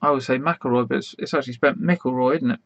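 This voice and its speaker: A man hosting an online quiz in a casual, rambling way, full of fillers, with a slightly croaky voice. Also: medium pitch, fast talker, dry recording, highs cut off. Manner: chatty, rambling, many fillers and restarts. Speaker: quiz host.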